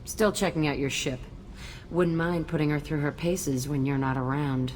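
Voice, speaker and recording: Casting: actress as hardened young criminal woman for a game; low register, tough, cocky, cynical. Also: steady tone, moderate pace, darkish tone, slight background noise, natural voice. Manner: curt, tough, sardonic. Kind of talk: video game character acting.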